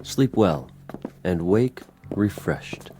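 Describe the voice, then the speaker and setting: Alien escort for radio drama: deep-voiced, commanding, coolly courteous, clipped phrasing. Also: male voice, expressive, very slow, very noisy, full character voice. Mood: polite, controlled. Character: formal, controlling male alien escort, coolly polite. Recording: music under the voice.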